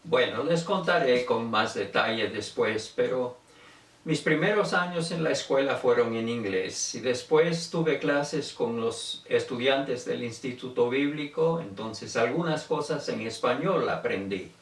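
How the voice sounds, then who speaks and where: A man's voice: medium pitch, measured pace. An older man from Venezuela giving an informal autobiographical talk in a reflective, conversational manner. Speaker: speaker telling his family language history. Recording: echoey room, distant microphone.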